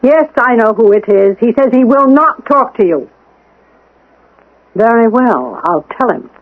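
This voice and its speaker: An actress playing a cool, vengeful housekeeper in an old-time radio drama, controlled and pointed in manner. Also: female voice, a little high, expressive, unhurried, narrow, tinny audio. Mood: relentless, commanding. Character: cool, insistent, accusing woman, secretly vengeful housekeeper. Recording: thin, noisy vintage radio recording.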